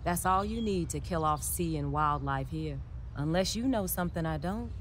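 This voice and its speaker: A skeptical, caring woman science teacher in a video game. Her voice is quite deep for a woman and she explains things in a measured, matter-of-fact way. There is faint noise behind her. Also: lively intonation. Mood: concerned, matter-of-fact. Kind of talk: video game dialogue acting.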